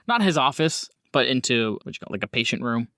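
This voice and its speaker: Male game master, casual improvised narration, medium pitch, dry recording. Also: very animated, a little fast, bright, forceful tone, no echo.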